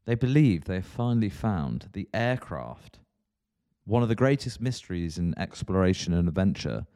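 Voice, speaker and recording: A man with a fairly low voice co-hosts a podcast. He chats casually, rambles through stories and laughs easily with his co-host. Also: sing-song, dark tone. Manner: jokey, rambling, quick to laugh.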